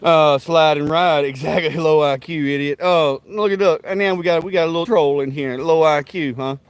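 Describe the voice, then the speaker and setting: A man's voice: fairly high pitch, normal speed, smooth, dry recording. A man hosting a live stream outdoors at a backyard grill, rambling to his viewers with profane, rowdy trash talk and folksy banter. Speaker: livestream host.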